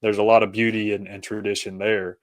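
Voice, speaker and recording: Low-voiced man explaining, close and dry. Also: level tone, brisk, dry recording. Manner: hesitant, rambling, peppered with "you know" and "kinda". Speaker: podcast guest, author. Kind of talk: conversational interview, explaining personal faith journey.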